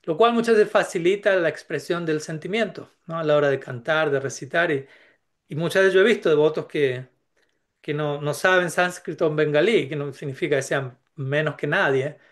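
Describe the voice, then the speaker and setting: A man speaking in a reflective, searching way about spiritual matters. His voice sits a little high for a man. He often pauses, restarts and checks in with his listeners through short rhetorical questions. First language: Spanish. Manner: thoughtful, many restarts and tag questions.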